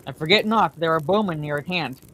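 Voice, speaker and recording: A woman voicing a gruff male ranger who breathes a quiet warning. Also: sits low, fast talker, a little hiss or hum, poor audio. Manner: breathy, whispered.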